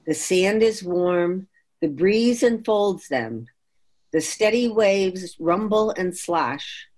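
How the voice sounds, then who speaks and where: A woman reading a poem aloud in a measured recital style at a group reading. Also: mid-range voice, expressive, darkish tone. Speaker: poetry reader at a group reading.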